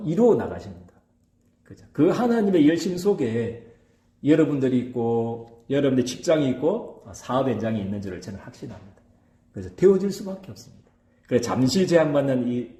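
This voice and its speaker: A man's voice: mid-range voice, warm tone, echoey room. A male pastor delivering a sermon in a regional dialect. He speaks emphatically, checks in with his congregation by asking "right?", and fills the gaps between phrases with hesitations. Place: church.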